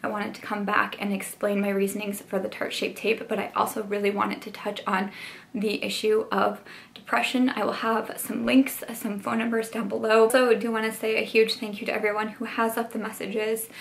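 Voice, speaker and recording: A young woman beauty vlogger speaking candidly to her viewers, earnest and hesitant, with many pauses and restarts. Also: mid-range voice, level tone, gravelly. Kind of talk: candid apology vlog to camera.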